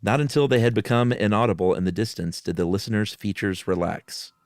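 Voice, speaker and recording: A man's voice: fairly low pitch, a little fast, a little mellow, dry recording, studio quality. A man hosting and reading a horror story dramatically, with casual asides. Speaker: podcast host and story reader. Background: music.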